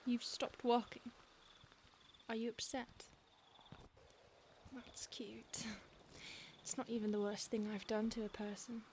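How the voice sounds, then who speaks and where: A woman's voice: on the high side, slow pace, pauses often. A woman playing a whispering possessive vampire. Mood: menacing whisper. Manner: soft, intimate, often whispered; calm, menacing, possessive. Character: possessive centuries-old female vampire stalker. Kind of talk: audio roleplay. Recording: noisy background hiss.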